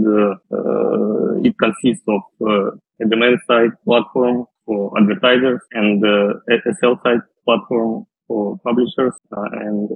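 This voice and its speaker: A man with a Russian accent giving halting, hesitant answers as an interview guest. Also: lowish voice, vocal fry, breathy edge. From Russia, western Siberia. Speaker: podcast guest, data scientist. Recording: muffled phone-like line, roomy echo.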